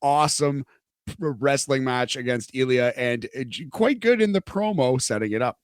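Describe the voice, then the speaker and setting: A man's voice: medium pitch, animated, normal speed, no echo, studio quality. A man co-hosting a wrestling podcast in casual chat. He laughs easily, stammers and repeats words. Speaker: podcast co-host.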